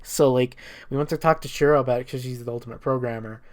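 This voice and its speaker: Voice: medium pitch, fairly even intonation, fast talker. A speaker giving a casual, improvised story recap as a gaming streamer, rambling and irreverent with plenty of swearing.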